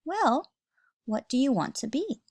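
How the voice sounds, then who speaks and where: Woman as an excitable yeti mom, lively pitch. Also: a little slow, breathy edge, full character voice. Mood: questioning. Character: brisk motherly female yeti, excitable.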